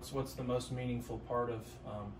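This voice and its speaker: A man's voice: mid-range voice, level tone, fairly quick, recorded from a distance. A young man hosts an interview, asking friendly questions with small restarts. He is heard from off the mic in an echoey room, with faint noise behind him. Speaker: host, interviewer.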